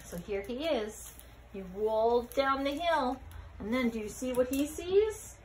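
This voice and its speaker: High-pitched, animated woman storyteller. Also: measured pace, slight background noise, low-quality recording. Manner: expressive, asks young listeners questions. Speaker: read-aloud storyteller. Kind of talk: children's picture-book read-aloud with asides to listeners.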